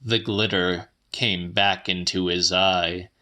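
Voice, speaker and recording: A man reading literary fiction as an audiobook, with deliberate, precise and slightly dramatic delivery. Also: low voice, fairly even intonation, pushed and bright. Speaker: audiobook narrator.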